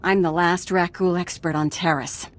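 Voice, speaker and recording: Woman acting as blunt, lowish-voiced skeptical scientist. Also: fairly even intonation, moderate pace, close to an everyday voice. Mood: exasperated. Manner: blunt, wary. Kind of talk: video game voice acting.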